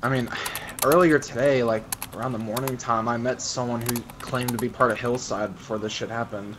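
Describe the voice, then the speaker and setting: A man with a mid-pitched voice chats in character during a roleplay game. He is casual and halting, with some cursing. Clear noise and keyboard typing sit behind him. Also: fairly even intonation. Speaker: roleplay player. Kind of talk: casual improvised in-character chat.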